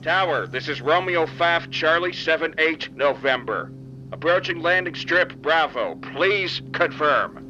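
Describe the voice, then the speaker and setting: A man's voice: on the high side, animated, unhurried, pushed and bright. A man playing a military pilot calling a control tower in formal, clipped radio procedure for an audio drama. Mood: urgent. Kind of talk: clipped radio-call acting. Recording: dull lo-fi sound with engine noise.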